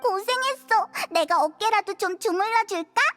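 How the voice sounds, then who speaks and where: Casting: pint-sized fairy sidekick for a game, very shrill and bright, cutesy chatterbox. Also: female voice, normal speed, faint music behind. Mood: curious, teasing. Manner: cute, squeaky, expressive. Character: tiny chatty childlike fairy companion. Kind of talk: cartoonish game voice acting.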